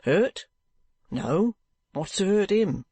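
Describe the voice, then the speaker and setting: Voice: mid-range voice, lively intonation, slow, warm tone, dry recording, pitched above the performer's own voice. A reader voicing an impatient policeman who speaks brusquely and gives orders. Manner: brusque, ordering people about. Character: gruff, impatient male policeman.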